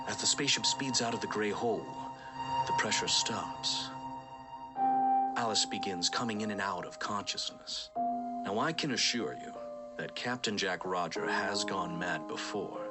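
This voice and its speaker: A man's voice: fairly low pitch, close to an everyday voice. A man playing a chatty storyteller who narrates a sci-fi radio drama with suspense and asides to the listener. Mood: suspenseful. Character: colloquial, chatty male storyteller of a sci-fi space adventure.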